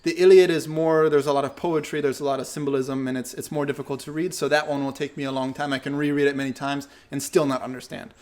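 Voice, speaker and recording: A young man hosting his channel, who says English is not his first language, answering viewer questions in a relaxed, thinking-aloud way. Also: medium pitch, fast talker, hardly any pauses. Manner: relaxed, thinks aloud with fillers and self-corrections. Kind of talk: casual Q&A talk to camera.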